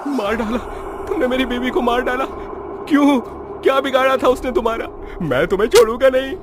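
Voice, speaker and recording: A man playing a devoted, expressive young husband in a horror story. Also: very high voice, fairly quick, background noise, pitched above the performer's own voice. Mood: anguished. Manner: expressive, dramatic.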